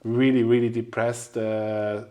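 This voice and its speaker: A man in his forties hosts a conversational podcast in a medium-pitched voice. He speaks hesitantly, feeling for the right words, and his phrasing is that of a non-native speaker. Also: level tone, unhurried, warm tone. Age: 40s. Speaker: podcast host. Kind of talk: casual interview conversation.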